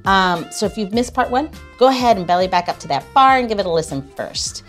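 A woman's voice: medium pitch, lively intonation, fast talker. Chatty woman host with faint music behind. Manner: informal, chatty. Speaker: podcast host. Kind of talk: casual conversational hosting.

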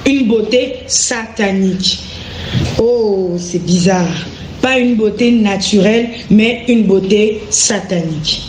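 A man's voice: high voice, slow. A man hosting a religious interview, interjecting briefly and repeating key words in affirmation. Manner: echoes and affirms the guest's words. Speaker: host. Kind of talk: reactive interviewing with short interjections. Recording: echoey room with clear background noise.

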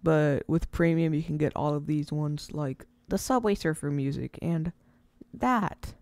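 A casual, rambling speaker hosting an informal step-by-step software tutorial, recorded close to the mic as a voice-over. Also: mid-range voice, unhurried, no echo. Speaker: tutorial host.

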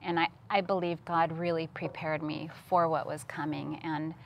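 Low-pitched earnest woman from Costa Rica. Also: little pitch movement, moderate pace, vocal fry, slight background noise. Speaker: interview guest, missions worker. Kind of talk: conversational testimony. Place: TV studio, seated at a table.

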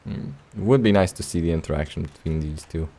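A man's voice: very deep voice, fast, warm tone. A man giving casual, playful video game commentary, joking and muttering reactions as he plays. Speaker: Let's Play host. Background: video game sounds.

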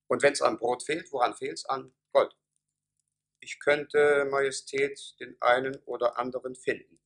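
An actor playing a haughty, scheming court minister in a radio play, speaking in a rhetorical, condescending manner. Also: male voice, medium pitch, vocal fry, fairly bright tone, exaggerated voice. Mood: cunning, persuasive.

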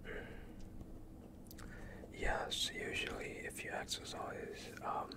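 Slow whispering young man, ASMR. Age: young adult. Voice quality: breathy unvoiced whisper. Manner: calm, soothing, unhurried questioning. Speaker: ASMR performer playing a doctor. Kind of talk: whispered ASMR medical roleplay.

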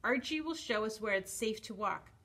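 A woman's voice: medium pitch, animated, normal speed, fairly bright tone. Woman reading as a small, earnest young boy dinosaur. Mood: reassuring. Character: small sad young male dinosaur, kind and brave.

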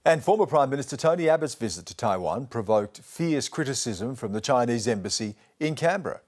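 A middle-aged man anchors the program from a studio, reading his introductions in a voice of average pitch. He speaks with serious authority. The delivery stays composed and scripted. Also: animated, fairly quick. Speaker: news anchor and interviewer.

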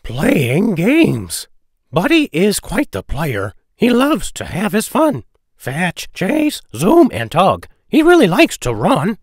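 A man reading children's rhyming poems aloud in a playful, deliberate, sing-song storybook style. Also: high-pitched, huge pitch swings, slightly rough, no room sound, studio quality. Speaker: children's book read-aloud narrator.

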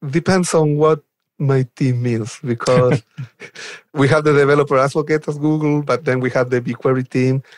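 A man with a Chilean Spanish accent talks casually as an interview guest. His voice sits at a medium pitch and his manner is enthusiastic. The sound is dry, with little room to it. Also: fairly even intonation, moderate pace, dry recording. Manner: enthusiastic, chuckles while talking. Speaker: guest, software developer advocate. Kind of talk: casual podcast interview chat.

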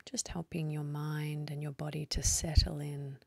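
A woman leading a guided meditation in a soft, husky, breathy voice, speaking slowly and soothingly with long pauses. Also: low-pitched, level tone, no echo.

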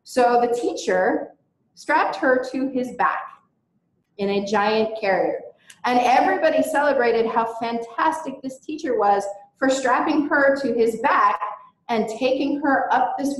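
Woman giving a talk, earnest and emphatic, bit high voice, big echo around her. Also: unhurried. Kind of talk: recorded presentation talk. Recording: very echoey room.

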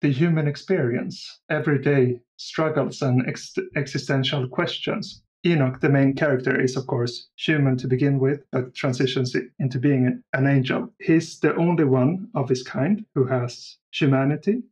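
A man speaking as an interview guest, explaining things in a measured, conversational way. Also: mid-range voice, steady tone, darkish tone. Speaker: audio drama creator, podcast guest. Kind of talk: casual interview chat.

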